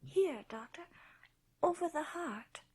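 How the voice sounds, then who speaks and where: Ailing young woman for gothic radio horror: frail, high, hushed, unhurried and earnest. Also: lively intonation, no room sound, exaggerated voice. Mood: weak. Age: young adult. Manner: hushed, breathy, haunted, deliberate. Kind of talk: radio horror drama acting and narration.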